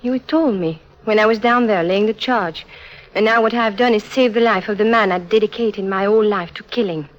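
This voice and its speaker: A woman's voice: medium pitch, fairly quick, lightly acted. A woman playing an intense, emotional young circus Fräulein in a 1950s radio drama. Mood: tearful anguish. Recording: dull old radio recording.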